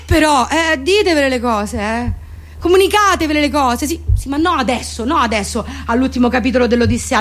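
A woman with a Roman Italian accent performs a theatrical comic monologue, ranting and circling obsessively back over her phrases. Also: a little high.

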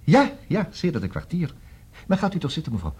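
An actor with a Netherlands Dutch accent playing a busy, wry, smooth-talking divorce lawyer in a formal, fussy manner for a 1960s radio comedy. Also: male voice, on the high side, huge pitch swings, brisk, darkish tone, exaggerated voice. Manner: formal, fussy, hesitant interjections and repeated words.

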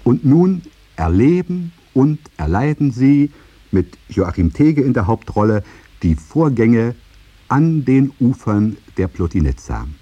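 Radio announcer, highly animated pitch, mellow tone, ceremonious, mock-solemn, scripted 1950s style. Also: male voice, slow, breathy edge, highs cut off, full character voice. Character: solemn male announcer introducing a satirical play.

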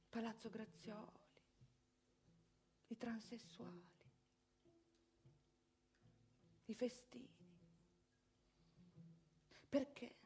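A woman's voice: a little high, breathy edge, exaggerated voice. An actress playing an indignant, respectable middle-class Italian mother in a theatrical monologue, emphatic and moralizing. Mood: hushed, weary. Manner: emphatic, moralizing, swinging from hushed to loud.

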